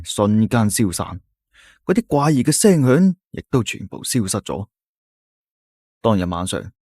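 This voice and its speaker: Male narrator, Cantonese accent, low and animated, dramatic folk-tale reading, dry recording. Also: no echo. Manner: dramatic, suspenseful storytelling. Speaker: storyteller. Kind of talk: scripted audio-drama narration.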